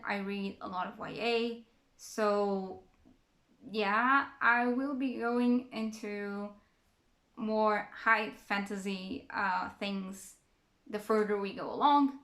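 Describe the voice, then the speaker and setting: A woman's voice: fairly high pitch, a bit bright, echoey room. A young woman from Portugal, a native Portuguese speaker, chatting casually as a book vlogger and thinking aloud with fillers and restarts. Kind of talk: casual reading-stats chat to camera.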